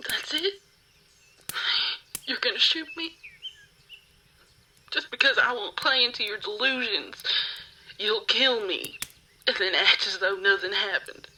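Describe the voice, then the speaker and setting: A woman playing a frightened but defiant captive rival in an ASMR roleplay, halting and stammering as she pleads. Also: medium pitch, sharp tone, slight background noise. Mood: incredulous.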